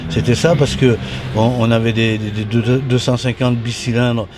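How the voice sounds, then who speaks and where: A man in his sixties with a mild Toulon accent, a former racer recalling his past in a halting, restart-filled way. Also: medium pitch, flat delivery, background noise. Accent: mild Toulon southern French. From Toulon, southern France. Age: 60s. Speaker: former motorcycle racer, guest. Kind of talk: casual interview reminiscence. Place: at a racing circuit.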